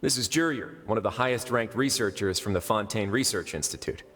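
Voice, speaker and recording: A man playing a composed duke in a video game, with a dry, unhurried, matter-of-fact manner. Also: on the low side, fairly bright tone, slight background noise, lightly acted. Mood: wry, relaxed. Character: composed, wry duke running an underwater prison fortress. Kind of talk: video game character acting. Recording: game music playing behind.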